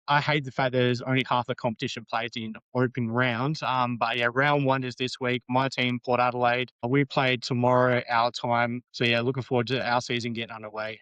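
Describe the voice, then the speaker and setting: A man's voice: mid-range voice, level tone, a little fast, fairly bright tone, smooth, no room sound, highs cut off. A man in his late thirties with an Australian accent, chatting casually as a podcast guest, rambling with frequent ums and restarts. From Western Australia. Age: 30s.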